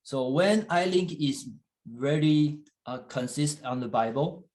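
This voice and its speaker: A man preaching in English, which is not his first language, pausing often with fillers and restarts while an interpreter translates. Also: fairly high pitch, a little mellow. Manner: halting, with frequent fillers and restarts. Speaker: guest church leader. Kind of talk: sermon in English with live interpretation.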